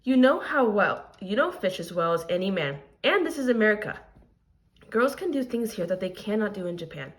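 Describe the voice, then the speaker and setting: An earnest father and sushi chef from a storybook, read by a woman. He is instructive and encouraging, with animated, rising and falling phrasing. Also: medium pitch, fairly quick, close to an everyday voice. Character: demanding but encouraging Japanese immigrant father, a sushi chef.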